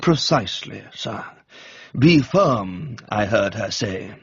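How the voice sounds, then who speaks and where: Man playing unflappable valet, refined English accent, formal, deferential, measured, breathy husk, radio storybook. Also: on the low side, lively intonation, highs cut off, voice pushed down, exaggerated voice. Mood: unruffled. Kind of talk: dramatised audiobook reading.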